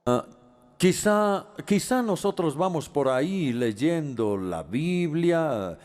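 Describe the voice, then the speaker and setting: A man teaching on Scripture, his pitch rising and falling in a lively, animated way. He speaks in a measured pace but keeps stopping on small hesitant fillers as he searches for the next phrase. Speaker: Bible teacher. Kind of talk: dubbed teaching talk.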